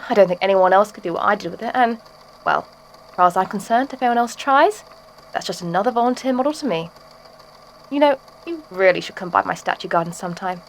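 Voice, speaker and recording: A woman's voice: mid-range voice, expressive, quick pace, slight background noise, close to an everyday voice, poor audio. A woman voicing a serenely macabre female sculptor in an audio drama, musing chattily with blithe menace. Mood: smug. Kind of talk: audio drama monologue, conversational.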